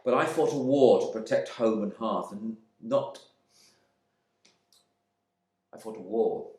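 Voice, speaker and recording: A man's voice: fairly low pitch, moderate pace, warm tone, close to an everyday voice. A man playing a stuffy, bigoted husband back from war in a dramatic monologue, speaking with pompous indignation. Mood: righteous indignation. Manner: pompous, clipped indignation, swinging from muttering to outbursts. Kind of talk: dramatic monologue reading from a novel. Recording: echoey room.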